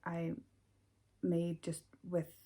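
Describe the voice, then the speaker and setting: An Icelandic woman speaking American English, hosting her knitting podcast in a hesitant, casual way with many pauses and fillers. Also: on the low side, monotone. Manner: hesitant, many ums and restarts, soft-spoken. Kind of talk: casual chat to camera.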